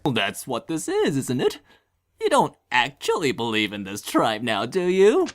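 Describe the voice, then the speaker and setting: An arrogant magic teacher in a comic anime dub. His pitch swoops dramatically as he mocks and condescends with pompous airs. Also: huge pitch swings, normal speed. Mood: mocking. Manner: pompous, sneering. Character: pompous, condescending, mocking male magic professor. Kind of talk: comedic anime parody dub.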